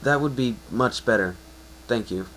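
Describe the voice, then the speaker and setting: A man's voice: medium pitch, normal speed, a bit bright, a little hiss or hum, lightly acted, poor audio. A man voicing a grateful, wistful pony who has lost flight, in an amateur story reading.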